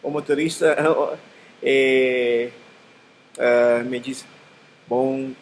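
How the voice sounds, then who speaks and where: A man's voice: medium pitch, steady tone, very slow. A man practicing a language as a student on a video call, hesitant and searching for words with many fillers. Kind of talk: halting practice conversation.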